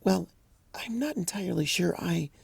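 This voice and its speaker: A fanfic reader voicing a timid, gentle young female pegasus pony, soft and hesitant, in a dry close recording. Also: medium pitch, animated, normal speed, creaky, slightly rough, no echo, voice pushed up, heavily acted.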